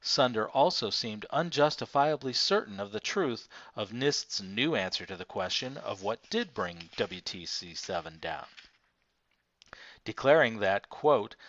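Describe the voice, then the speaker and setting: Low-voiced man reading nonfiction, dull dry sound. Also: a bit bright, no echo. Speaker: audiobook reader. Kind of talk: scripted nonfiction narration, reading aloud. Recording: dull, lo-fi, dry close recording.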